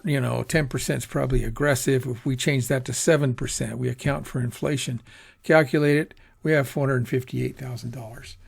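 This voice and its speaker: A man's voice: medium pitch, creaky, breathy edge. A man giving an informal lecture on personal finance, talking in a rambling, conversational way and correcting himself as he goes. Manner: conversational, rambling, self-correcting, acts out quoted asides. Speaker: teacher.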